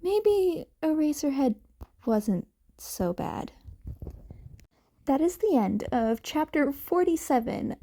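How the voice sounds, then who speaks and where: Slow, creaky-voiced woman narrating. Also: high voice, big pitch swings, mellow, slight background noise. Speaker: amateur fiction reader. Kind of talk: scripted story narration.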